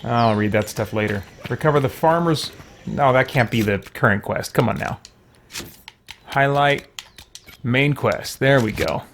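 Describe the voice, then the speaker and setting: A man's voice: mid-range voice, measured pace, fairly bright tone. A man hosting a let's play, giving casual gameplay commentary, half to himself. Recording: faint noise with keyboard clicks.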